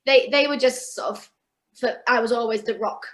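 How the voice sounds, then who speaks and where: A woman's voice: fairly high pitch, moderate pace, a bit bright. A young woman talking as a guest in a casual interview, chatty and often restarting her sentences. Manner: chatty, with frequent restarts and fillers. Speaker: guest singer and actress.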